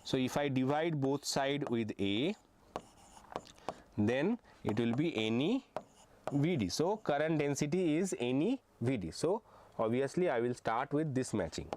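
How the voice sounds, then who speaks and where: A man's voice: mid-range voice, darkish tone, slight background noise. A young man teaching an online physics exam-prep lesson. He explains step by step, often hesitates with "uh" and checks in with "okay". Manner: frequent hesitations with uh and um.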